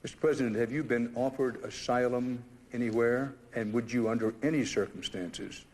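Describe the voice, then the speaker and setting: A man's voice: mid-range voice, steady tone, moderate pace, a little mellow, long reverb tail. A man interviewing in a courteous, direct way with formal questions.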